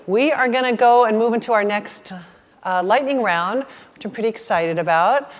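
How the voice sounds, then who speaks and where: A woman's voice: a little high, moderate pace. A woman moderating a conference session in a friendly, conversational way, thinking aloud between speakers. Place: large conference hall with audience. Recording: reverberant hall with audience murmur.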